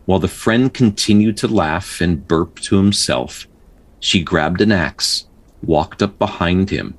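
A man hosting a storytelling show, narrating folktales in a measured, dramatic way with casual asides and a husky voice. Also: low-pitched. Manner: measured, pausing delivery when telling the tale.

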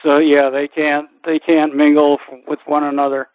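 A man's voice: a little high, level tone, moderate pace, dry recording. A man hosting a conversational interview, asking questions and introducing guests. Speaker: interview host. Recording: thin, phone-like radio sound.

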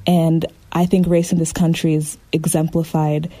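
A woman from Southwest Michigan reading a personal reflection in a thoughtful, steady way. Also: low-pitched, normal speed. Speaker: contributor.

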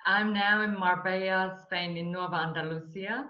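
A woman's voice: medium pitch, fairly even intonation, moderate pace, roomy sound, dull audio. A woman from Finland with a Finnish accent, speaking as an interview guest and telling her personal story. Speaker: interview guest, coach and mentor.

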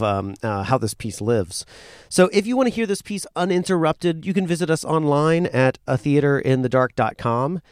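A man's voice: fairly high pitch, dry recording, studio quality. A man presenting an audio theater podcast, giving introductions and credits as its announcer.

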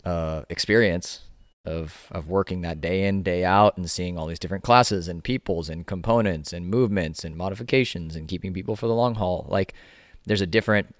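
A man's voice: sits low, a little fast, no echo, studio quality. A man hosting a casual podcast interview, rambling with frequent restarts and fillers. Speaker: podcast host, gym owner.

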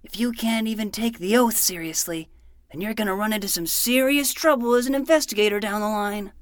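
A woman's voice: mid-range voice, brisk, no room sound, studio quality, natural voice. A woman playing an earnest, self-important twelve-year-old boy detective in a fiction podcast, speaking in a formal, theatrical way.

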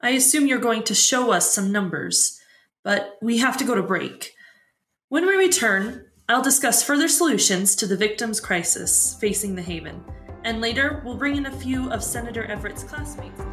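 Female, composed talk show moderator in an audio drama, slightly high voice, roomy echoing sound. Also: expressive, moderate pace, fairly bright tone, faint music behind. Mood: composed, probing. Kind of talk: broadcast moderator in fiction podcast.

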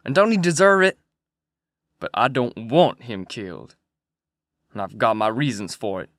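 A man's voice: medium pitch, lively intonation, normal speed, a bit bright, no room sound, studio quality, pitched below the performer's own voice. A man voicing an angry, violent male robber who threatens a bound captive. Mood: menacing.

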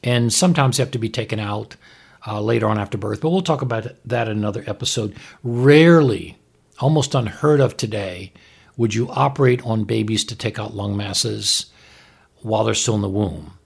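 A man's voice: on the low side, normal speed, gravelly, husky. A man who is a doctor co-hosting a medical talk, explaining things in a plain-spoken, frank and emphatic way. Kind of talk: explanatory commentary in conversation.

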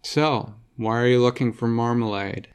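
A man voicing a calm, relaxed older boy in a storybook, easygoing and friendly. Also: medium pitch, moderate pace, clear, resonant tone, natural voice.

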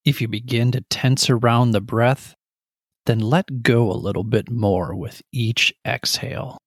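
A middle-aged man guiding a meditation, calmly and gently, in short, measured phrases. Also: mid-range voice, no room sound, studio quality. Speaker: meditation guide. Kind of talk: guided meditation narration.

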